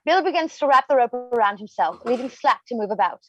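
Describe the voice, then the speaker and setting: A woman's voice: a little high, expressive, fast talker, a bit bright, no echo, highs cut off, faint music behind. A woman narrating scripted stage directions for a play reading.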